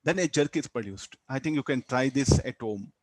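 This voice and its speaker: A man with an Indian accent teaching physics, explaining step by step in a halting way, repeating words and tagging on "huh" and "okay". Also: mid-range voice, lively intonation, dry recording, rough recording. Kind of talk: classroom lesson, explaining a worked problem.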